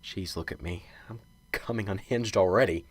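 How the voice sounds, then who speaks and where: An actor playing a nervous, self-doubting young professor in a radio drama, fretting in rambling talk to himself. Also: male voice, deep voice, normal speed, heavy background noise, lightly acted. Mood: stressed, fretful.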